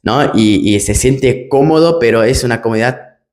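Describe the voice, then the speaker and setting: A man's voice: medium pitch, moderate pace, darkish tone. A German man who speaks Spanish picked up in Argentina, hosting an interview in a casual, hesitant way with many restarts. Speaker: project manager, interviewer.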